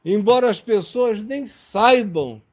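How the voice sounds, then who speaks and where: A man's voice: high voice, lively intonation, slow pace. A man preaching a sermon in an impassioned, emphatic way.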